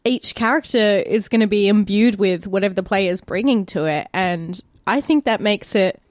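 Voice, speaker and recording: A woman's voice: mid-range voice, moderate pace, no echo, studio quality. A woman with an Australian accent in casual podcast chat, speaking informally and swearing freely. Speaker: actor and podcast player.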